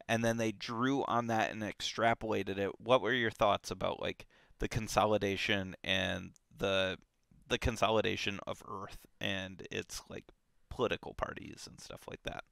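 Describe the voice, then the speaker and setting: A man talks through a book in a relaxed, animated way, stopping and restarting his sentences. His voice is bright and crisp, the sound dry and close, and his pace is unhurried. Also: lowish voice, no echo. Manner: animated, lots of fillers and restarts. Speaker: book club podcast host. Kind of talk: casual book discussion.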